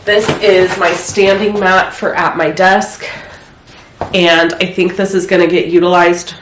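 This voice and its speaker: A woman with a stuffy head cold chats casually while she clears out her home craft room. She rambles a bit and uses lots of filler words. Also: on the low side, little pitch movement, a little slow, a bit bright. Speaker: crafting vlogger. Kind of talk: casual chat while decluttering. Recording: echoey room, faint noise.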